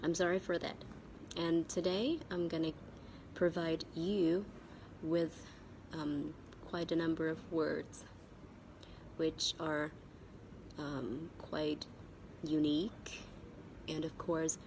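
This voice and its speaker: A Vietnamese-accented woman with a cold and sore throat gives a spoken English vocabulary lesson as a teacher, haltingly and repeating key words. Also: sits low, level tone, creaky, darkish tone, slight background noise, poor audio.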